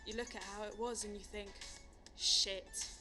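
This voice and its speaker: A woman's voice: medium pitch, fairly even intonation, a little slow, bright, forceful tone, soft background music. An actress playing a playful, sincere young woman radio co-host, chatting and teasing in an audio drama. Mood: reflective. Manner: teasing, conversational. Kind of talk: audio drama banter between radio co-hosts.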